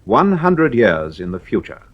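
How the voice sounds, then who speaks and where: A man's voice: lowish voice, big pitch swings, measured pace, muffled recording. A man as a radio drama announcer, giving scripted narration in a portentous style.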